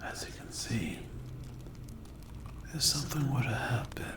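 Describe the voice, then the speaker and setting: A performer voicing a menacing, taunting sleep paralysis demon in a breathy whisper for a dark fantasy ASMR roleplay. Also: medium pitch, expressive, roomy sound, close to an everyday voice. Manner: slow, drawn-out, taunting delivery with rhetorical questions.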